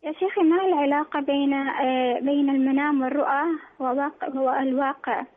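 Woman caller, high voice, talks fast, polite and halting, tinny phone sound. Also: steady tone. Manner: respectful, halting. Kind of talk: phone-in question. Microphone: telephone.

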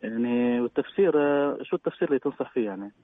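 A man comes through a thin, phone-like line with a dry sound. He talks quickly and politely, stumbling over his words and filling the gaps with "uh". His pitch sits in the middle range. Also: expressive, no room sound. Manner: polite, hesitant, many fillers. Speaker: caller. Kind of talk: phone-in question. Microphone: telephone.

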